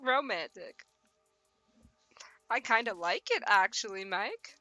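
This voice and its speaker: A woman's voice: medium pitch, lively intonation, slow, over music. A woman livestreamer reading a visual novel aloud, mixing narration with giggly, teasing, theatrical banter. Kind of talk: livestream play-along, narration mixed with excited banter.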